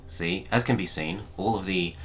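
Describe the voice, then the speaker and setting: A man narrating a scripted gaming tutorial in a formal, measured, precise manner. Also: deep voice, a bit bright, slight background noise. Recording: music behind the voice. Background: music.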